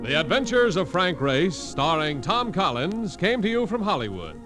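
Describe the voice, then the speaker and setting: A man's voice: on the high side, very animated, moderate pace. A man giving a scripted 1940s radio announcement in a polished, formal announcer delivery, over organ music. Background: loud organ music.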